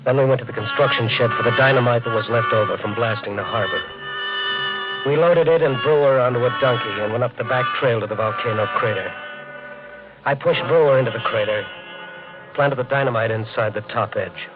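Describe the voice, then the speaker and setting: Casting: male actor as obsessed hard-boiled hotelier, mid-pitched, intense narrator of his own downfall, muffled 1950s radio sound. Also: brisk, over loud music, lightly acted. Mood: tense narrating. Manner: intense, hard-boiled first-person telling. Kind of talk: 1950 radio drama acting and narration. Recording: dull vintage 1950 radio recording.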